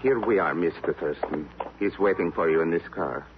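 An actor playing a courteous but threatening henchman with a measured manner in a 1950s radio drama. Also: sits low, very noisy, lightly acted. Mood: coolly polite. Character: smooth, menacing henchman posing as courteous messenger. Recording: muffled old radio, loud music.

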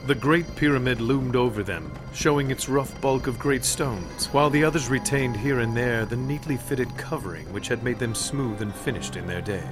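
A man's voice: mid-range voice, normal speed, hardly any pauses, close to an everyday voice. A man performing as the solemn narrator of a radio drama, reading literary, scripted narration. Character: solemn male narrator of an eerie adventure tale. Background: music.

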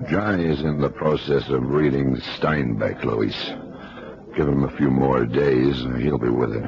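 An actor playing a calm, observant male detective in a radio drama, measured and explanatory in delivery. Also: extremely low pitch, creaky, full character voice. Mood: knowing, instructive. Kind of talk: 1960s-70s radio drama acting. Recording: dull old radio recording, background chatter.

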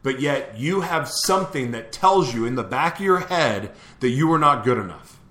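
A man's voice: mid-range voice, expressive, moderate pace, pushed and bright, reverberant. A man hosting a live motivational show, preaching to his listeners and asking them the same question again and again. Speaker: show host.

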